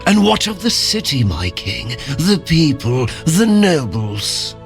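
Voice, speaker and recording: An actor playing a scheming, obsequious servant of a dark king in a fantasy audio drama, with a courtly, measured manner. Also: fairly high pitch, sing-song, heavily acted. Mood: eager servility. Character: fawning, scheming male henchman to a dark king. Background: dramatic music.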